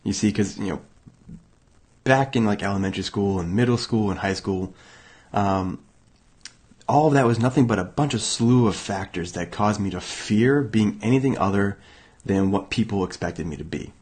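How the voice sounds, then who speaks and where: A young man from Massachusetts hosting a motivational self-help show, hoarse and raspy from illness, talking casually with many fillers and restarts. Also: lowish voice, moderate pace, a little mellow. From Massachusetts, USA. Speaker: podcast host.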